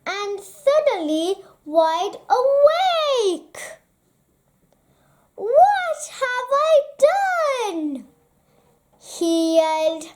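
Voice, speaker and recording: A storyteller reading a children's picture book aloud with dramatic, deliberate phrasing. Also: extremely high pitch. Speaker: storybook reader.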